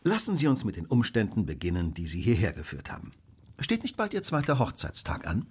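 A man's voice: fairly low pitch, wildly swooping pitch, fairly quick, husky, full character voice. An actor playing a brilliant, smug Victorian detective in a German radio play, precise and lecturing in his delivery. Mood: analytical, assured. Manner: precise, self-assured, lecturing.

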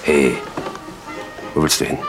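An actor playing a cynical, talkative gangster in a German radio crime drama, coarse, gravelly and sardonic. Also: male voice, a bass voice, fairly even intonation, takes it slowly, over music, heavily acted. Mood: gruff, sly. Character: cynical, talkative older gangster schooling a hitman.

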